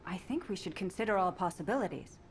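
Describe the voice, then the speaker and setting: A woman playing an earnest, proud female soldier in a scripted video game scene, speaking in a measured way. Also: medium pitch, lively intonation, slight background noise, close to an everyday voice. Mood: earnest, thoughtful. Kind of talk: scripted game cutscene acting.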